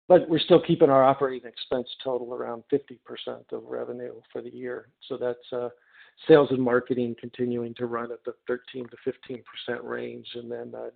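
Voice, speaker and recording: A male finance executive explaining figures on an earnings call in a measured, matter-of-fact way. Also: medium pitch, creaky. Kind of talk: explanatory business answer. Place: conference call line. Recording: muffled, band-limited conference call audio.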